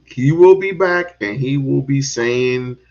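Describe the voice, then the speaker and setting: A man's voice: medium pitch, slow pace, darkish tone, muffled recording. A man hosting a casual online talk show, talking profanely and laughing often. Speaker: show host.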